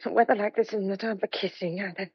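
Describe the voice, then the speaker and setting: An actress playing a dutiful but sharp-tongued young country woman in an old-fashioned audio drama, speaking to her menfolk. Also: mid-range voice, steady tone, fast talker, husky. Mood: anxious, reluctant. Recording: dull, muffled lo-fi audio.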